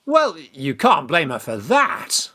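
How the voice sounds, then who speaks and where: A man playing an assertive young gentleman schemer in a theatrical radio farce, speaking briskly and formally. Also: fairly high pitch, wildly swooping pitch, full character voice. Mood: firm, placating.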